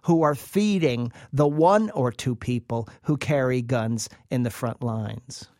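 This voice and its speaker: A man's voice: mid-range voice, takes it slowly, darkish tone, no echo, clean studio sound. A man speaking as an expert interview guest, explaining in an emphatic way and repeating phrases for weight. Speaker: interview guest, researcher presenting a study. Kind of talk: interview commentary.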